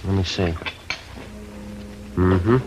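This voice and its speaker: An actor playing a terse, unflappable police sergeant in a 1950s radio crime drama, clipped and businesslike. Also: male voice, low voice, steady tone, extremely slow. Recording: dull old radio recording, loud music.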